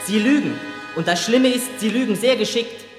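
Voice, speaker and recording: A man's voice: high-pitched, moderate pace, lightly acted. A man acting in a 1970s radio play as an unforgiving young son who confronts his father with insistent, formal questioning. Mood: bitter, accusing. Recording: reverberant hall, music behind.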